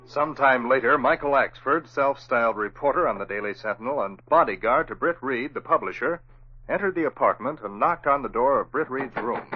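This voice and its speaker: A man's voice: mid-range voice, a little fast, music in the background, close to an everyday voice. A man narrating a 1940s radio drama as announcer, in a scripted storytelling style. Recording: muffled old radio recording.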